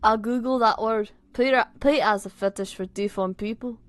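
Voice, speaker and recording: A woman's voice: a little high, moderate pace, no echo, close to an everyday voice. Exasperated young Liverpool bloke played by a woman, crude and mock-dramatic, wobbly accent, story reading. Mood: blunt gossip. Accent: wobbly put-on Liverpool. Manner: blunt, sweary, mock-dramatic. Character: crude, exasperated young British men in banter.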